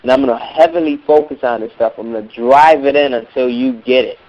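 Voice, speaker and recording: Hoarse male coach, emphatic and repetitive, on a dull lo-fi teleseminar line. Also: mid-range voice, a little fast, darkish tone. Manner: emphatic, repeats words for stress. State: hoarse from a cold. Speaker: music business coach. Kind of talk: motivational teleseminar talk.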